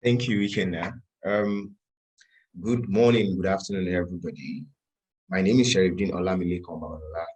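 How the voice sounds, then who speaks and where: A young man from Nigeria speaks as a panel guest over a video call. His voice is very low and husky. He talks with many hesitant "um"s. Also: fairly even intonation, brisk, a little mellow.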